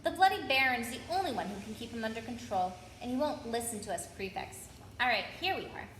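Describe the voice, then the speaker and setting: A woman's voice: a little high, animated, a little fast, a bit bright, reverberant, slight background noise. A woman voicing an earnest, bossy teenage boy prefect with an officious, self-important manner in a children's storybook. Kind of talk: children's storybook character reading.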